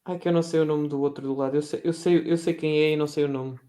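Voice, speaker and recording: A man's voice: on the high side, steady tone, fast talker, warm tone. A young man with a European Portuguese accent, a quiz contestant who chats, stumbles and restarts while trying to recall names. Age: young adult. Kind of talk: casual quiz chat among friends.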